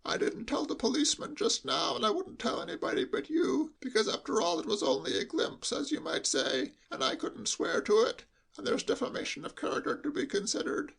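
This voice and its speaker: A man reading a story aloud and voicing a timid old male butler with a high, bleating, quavering voice and a slow, deferential, hushed way of talking. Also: sing-song, gravelly, slightly rough, full character voice. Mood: timid, confiding.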